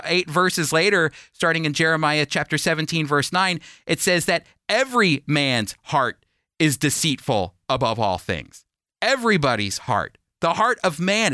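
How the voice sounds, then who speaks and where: A man's voice: a little high, bright, forceful tone, no echo, clean studio sound. A man preaching a sermon and reading scripture, stressing and repeating key phrases and posing rhetorical questions. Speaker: preacher and Bible teacher on a radio program.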